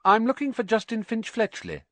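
Man acting a young boy hero, raised pitch, earnest, direct, hot-tempered, audiobook character, lively intonation. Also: moderate pace, no room sound. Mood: tense, polite.

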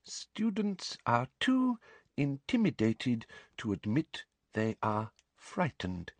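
A man voicing a condescending middle-aged woman school inspector, sickly sweet, speaking slowly and over-enunciating in a patronising way. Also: fairly high pitch, huge pitch swings, a bit bright, no echo, pitched above the performer's own voice, full character voice. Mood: smug, slow.

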